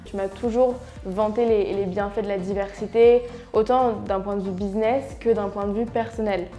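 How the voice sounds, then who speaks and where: A woman's voice: mid-range voice, fairly even intonation, normal speed, mellow, soft background music. A young adult woman co-hosting a conversational interview, speaking in a thoughtful, articulate way. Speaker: co-host, agency CEO.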